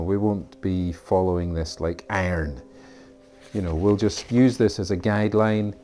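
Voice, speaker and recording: A Scottish man introduces his art class in a slow, rambling way, full of fillers and small asides. His voice sits low and mellow. Faint music plays under his talk. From Scotland. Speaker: art teacher.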